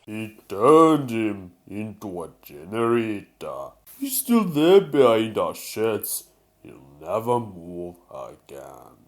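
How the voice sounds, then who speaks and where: Man as a slow Welsh old engine. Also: on the low side, big pitch swings. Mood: grave. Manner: slow, pompous, scolding. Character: proud, stern old male narrow-gauge steam engine. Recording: music behind the voice.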